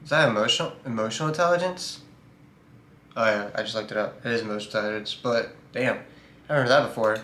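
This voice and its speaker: A man giving loose, joking reaction commentary, cursing now and then. His voice sits at a middling pitch and rings in an echoey room with a faint hiss underneath. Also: fast, sharp tone. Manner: loose, joking, swears casually. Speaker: reaction video host. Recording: roomy echo, faint noise.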